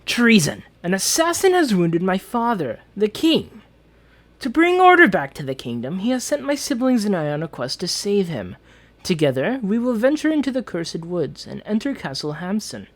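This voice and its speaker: Performer as haughty prince with animated delivery. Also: mid-range voice, normal speed. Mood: proud, resentful. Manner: theatrical, formal, dramatic. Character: Prince Johannes, proud, haughty, entitled eldest royal son on a quest. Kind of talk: dramatic fantasy journal narration, fan dub.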